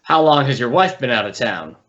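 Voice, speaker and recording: An actor playing a polite, businesslike police detective asking questions in an old radio drama. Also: male voice, mid-range voice, lively intonation, a little fast, fairly bright tone, natural voice. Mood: polite, probing. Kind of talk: 1950s radio acting. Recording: dull old radio recording.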